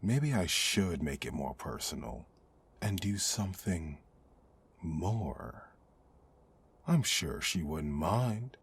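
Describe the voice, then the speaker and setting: A man voicing a sneering, greedy street mugger with a husky rasp in an ASMR roleplay. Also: deep voice, big pitch swings, takes it slowly, long pauses, exaggerated voice. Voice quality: husky, rasping. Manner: sneering, threatening. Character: menacing male street mugger, greedy and taunting. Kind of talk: ASMR roleplay villain acting.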